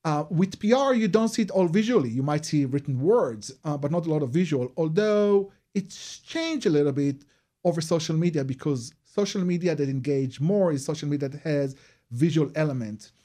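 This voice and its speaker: A man's voice: fairly high pitch, animated, moderate pace, dark tone. Israeli-accented man explaining his craft. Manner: earnest, explanatory, non-native phrasing. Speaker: guest, advertising and PR agency head. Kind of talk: radio interview answers.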